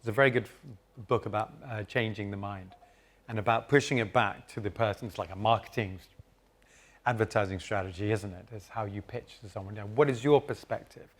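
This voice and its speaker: A man hosting and moderating a live conference session, giving calm, explanatory advice from the stage. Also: lowish voice, brisk. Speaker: host and moderator.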